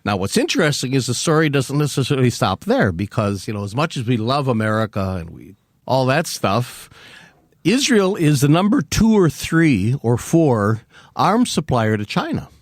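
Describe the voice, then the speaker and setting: An older man talking as an author and activist guest on a podcast interview, emphatic and animated, often repeating words as he builds a point. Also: medium pitch, moderate pace, no room sound, clean studio sound. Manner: acts out mock quotes in a raised voice.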